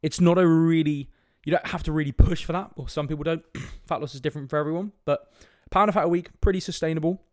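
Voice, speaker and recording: Young male podcast host, sniffly with a cold, direct and casual, slightly high voice, dry close mic. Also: steady tone, fast, few pauses, darkish tone, dry recording. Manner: direct, casual, swears, frequent "right?" asides. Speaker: fitness coach podcast host. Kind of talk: casual solo podcast talk.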